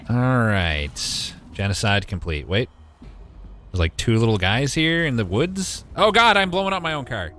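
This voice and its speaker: A man in his twenties with a deep voice gives casual, wry live gaming commentary and talks back to his chat. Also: animated, measured pace, fairly bright tone, slight background noise. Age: 20s. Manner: wry, joking, mild swearing, talks to chat. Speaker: game streamer.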